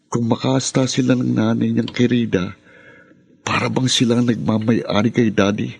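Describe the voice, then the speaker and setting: An actor playing a bitter, rebellious young son in a radio drama, with a scornful, confrontational delivery. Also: male voice, medium pitch, little pitch movement, normal speed, gravelly, darkish tone, husky, dry recording. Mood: scornful menace. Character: Darwin, bitter rebellious spoiled young son, quick to rage.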